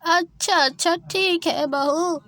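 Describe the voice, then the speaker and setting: A woman's voice: very high voice, slow, sharp tone, heavily acted. A woman voicing an older, anxious, easily swayed mother-in-law crow in a children's cartoon, simple and concerned. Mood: mollified.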